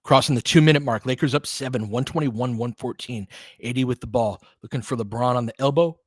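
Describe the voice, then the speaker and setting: A man's voice: mid-range voice, fairly quick, breathy edge, no room sound, clean studio sound. A man doing informal, partisan live basketball commentary as a fan host, talking casually with some mild swearing.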